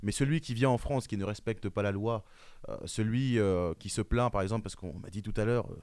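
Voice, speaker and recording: A young man arguing his views in a heated debate, insistent and rising in pitch when challenged. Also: a little fast, darkish tone, no echo. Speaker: debate guest.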